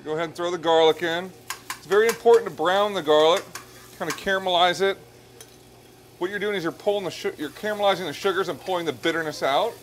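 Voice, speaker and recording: A man's voice: sits high, quick pace, a little hiss or hum. A man in his thirties, a chef, talking through a pasta dish step by step in a restaurant kitchen. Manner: relaxed, explains each step as he cooks. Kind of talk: cooking demonstration. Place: restaurant kitchen by a hot stove. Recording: music playing under the voice.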